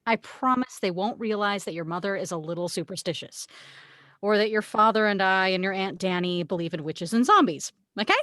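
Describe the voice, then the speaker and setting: A woman's voice: mid-range voice, fairly quick, a bit bright, no echo, close to an everyday voice. Earnest, protective mom played by a woman, dry close sound. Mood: earnest, hurt. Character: loving, superstitious mother, a lawyer, anxious to protect her daughter. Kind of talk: amateur table read of a film script.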